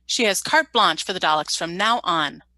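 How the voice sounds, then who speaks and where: A woman playing an impatient, authoritative colony governor in a radio play, brisk and commanding. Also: lowish voice, animated, bright, forceful tone, no room sound. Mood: exasperated, firm.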